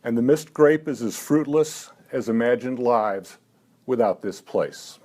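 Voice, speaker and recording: A man in his seventies at a live poetry reading, talking haltingly between poems with dry, self-mocking asides and lifting his voice for the verse. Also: medium pitch, a little mellow. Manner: halting talk with many "uh" fillers. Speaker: poet.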